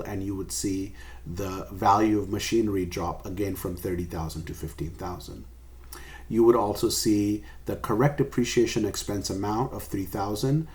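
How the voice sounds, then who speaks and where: A man's voice: on the low side, darkish tone. A man giving an explanatory recorded lecture as a professor, going step by step and stressing key points. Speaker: accounting professor.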